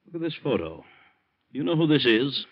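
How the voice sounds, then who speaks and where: Stern district attorney, muffled old radio. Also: male voice, mid-range voice, very animated, brisk. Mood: stern, determined. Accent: American. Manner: clipped, authoritative, formal. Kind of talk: 1940s radio acting.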